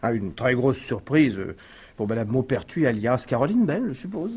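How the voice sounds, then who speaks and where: An actor playing a deferential, dryly amused police assistant in a detective radio drama, reporting in a halting, modest manner. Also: male voice, mid-range voice, lively intonation, darkish tone, husky. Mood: musing.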